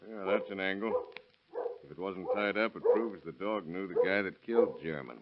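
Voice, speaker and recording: An actor playing a shrewd, skeptical lawman, deliberate and matter-of-fact, in 1930s radio drama style. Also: low voice. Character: shrewd, skeptical county lawman investigating a killing. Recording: muffled, noisy vintage radio recording.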